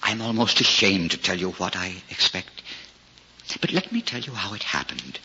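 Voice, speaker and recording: An actor playing a humble, pious old shoemaker in a 1940s radio drama, earnest and halting, often repeating himself. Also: male voice, sits low, big pitch swings, pushed and bright, husky, heavily acted. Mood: earnest, wondering. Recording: noisy, muffled 1940s radio recording.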